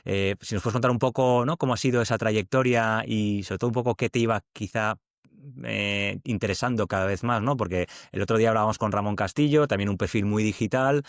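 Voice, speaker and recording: A man hosting an interview podcast, talking informally and warmly to a friend, with plenty of fillers. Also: fairly low pitch, fairly quick, dry recording, clean studio sound. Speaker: podcast host. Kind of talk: casual conversational interview.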